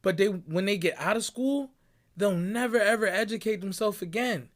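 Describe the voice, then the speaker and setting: High-voiced emphatic young man from Barbados. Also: moderate pace. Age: young adult. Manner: emphatic, preachy, peppers talk with "right?" and "bruh". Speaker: motivational speaker. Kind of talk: motivational monologue. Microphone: audio clip recording.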